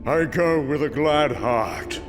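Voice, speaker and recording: Man acting a slow, weary old god in cavernous reverb. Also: on the high side, animated. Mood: mournful resignation. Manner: slow, solemn, grave. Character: old weary Norse thunder god, last king of ruins. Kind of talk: dramatic comic book narration. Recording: long hall reverb over loud music. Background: bowed string music.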